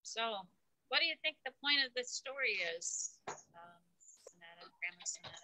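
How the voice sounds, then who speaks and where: A woman co-host gives casual reactions and short questions in a bright, fairly high voice. Her pitch moves around in a lively way. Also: brisk, slight background noise, rough recording. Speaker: co-host and listener.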